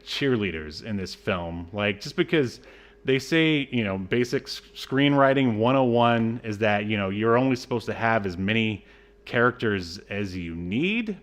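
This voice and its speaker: A man co-hosting a podcast, casually reviewing a film in a halting, wryly exasperated way. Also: medium pitch. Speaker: podcast co-host.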